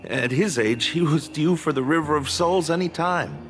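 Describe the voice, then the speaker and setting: An actor playing a busy, irritable city worker in a game, talking in a brusque, grumbling manner. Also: male voice, on the high side, moderate pace, fairly bright tone, faint music behind, natural voice. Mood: bitter, shaken. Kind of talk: dramatic game voice acting.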